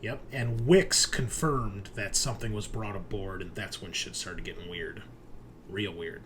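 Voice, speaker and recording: A man's voice: on the low side, measured pace, a bit bright, slight background noise. A man narrating a tabletop horror roleplaying game as game master, in a conversational, descriptive, improvised way.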